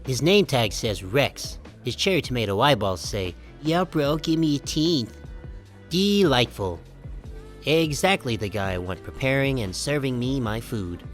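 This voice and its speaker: A man playing a short, self-conscious sheep who narrates an audiobook in a wry, deadpan, casual first-person style. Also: mid-range voice, unhurried, soft background music, natural voice. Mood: wry, musing.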